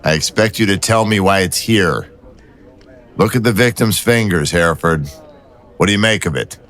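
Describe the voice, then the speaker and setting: A man playing a wry, low-voiced detective. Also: normal speed, fairly bright tone. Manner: dry, teasing banter. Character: wry, persistent male city detective.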